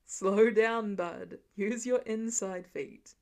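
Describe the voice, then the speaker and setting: A woman voicing a fond, weary, gently stern father in a story reading, with a dry, affectionate manner. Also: mid-range voice, takes it slowly, gravelly. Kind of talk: storybook-style character reading.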